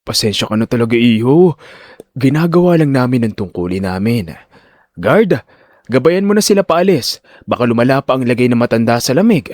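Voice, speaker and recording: A man acts out a cold hospital doctor in an audio drama. He speaks in a measured, condescending hush at medium pitch, with a dry, close sound. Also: sing-song, dry recording, close to an everyday voice. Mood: feigned kindness. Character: cold, two-faced, arrogant male hospital doctor.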